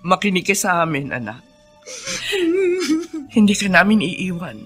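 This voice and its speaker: A woman's voice: on the low side, expressive, measured pace, fairly bright tone. A woman playing a patient, remorseful middle-aged mother in a Filipino radio drama, pleading with emotion. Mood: tender, tearful. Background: dramatic music.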